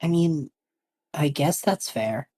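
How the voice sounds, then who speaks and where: A woman's voice: low voice, takes it slowly, mellow, husky, heavily acted. A woman playing a nervous, hesitant young man who is out of his depth. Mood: flustered. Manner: unsure, flustered, hesitant. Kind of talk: fan audio drama acting.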